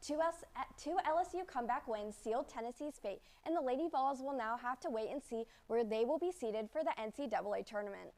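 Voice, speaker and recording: A young woman reading sports news as a student anchor, with a crisp, upbeat delivery. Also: fairly high pitch, animated, fairly quick, hardly any pauses, a little mellow. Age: young adult. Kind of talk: read news script.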